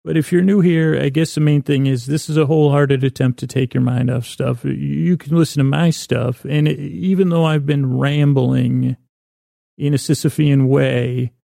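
A man with a creaky voice hosting a bedtime podcast in a meandering, halting monologue full of unfinished sentences. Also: medium pitch, dark tone, no room sound, studio quality. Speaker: podcast host.

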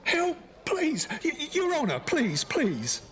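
An actor playing a desperate, bitter condemned prisoner in an audio drama, emotional and prone to stammering. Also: male voice, very high voice, wildly swooping pitch, slow pace, sharp tone, exaggerated voice. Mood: panicked, pleading.

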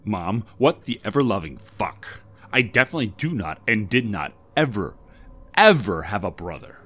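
A man's voice: deep voice, moderate pace. A man doing a furious, swearing grown daughter. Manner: swearing, shouting in disbelief. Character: angry bewildered adult daughter, woman.

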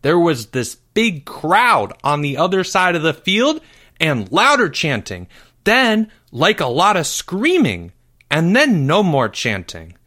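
Man putting on a timid, high-pitched schoolboy. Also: wildly swooping pitch, takes it slowly, pushed and bright, heavily acted. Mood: earnest, anxious. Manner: hesitant, youthful phrasing. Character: timid, anxious, easily startled young schoolboy.

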